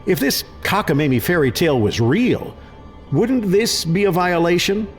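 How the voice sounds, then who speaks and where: High-pitched, exasperated sceptical official. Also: male voice, animated, moderate pace, faint music behind. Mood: exasperated, sarcastic. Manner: incredulous, sarcastic, emphatic. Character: exasperated, sceptical male government official, quick to scoff. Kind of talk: scripted sci-fi audio drama.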